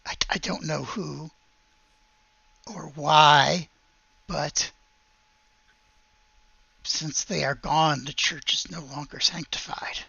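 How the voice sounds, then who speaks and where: A game master playing an anxious, hesitant village priest who stammers and speaks haltingly in a tabletop game. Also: medium pitch, steady tone, creaky, bright, forceful tone, slightly rough, dry recording, muffled recording, heavily acted. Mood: worried, bewildered.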